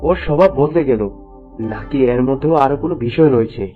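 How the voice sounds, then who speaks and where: A man voicing an earnest, troubled young prince in an animated fairy tale, speaking emphatically. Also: a little high, quick pace, phone-quality sound, soft background music, close to an everyday voice. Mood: puzzled, troubled.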